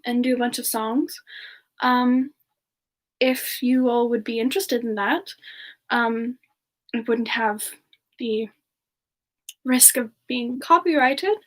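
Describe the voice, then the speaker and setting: A woman plays a tender, affectionate character hosting a semi-ASMR live stream. The voice is high and soft. The delivery is slow and unhurried, as casual chat with viewers. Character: Frostbyte, gentle affectionate character who loves hugs.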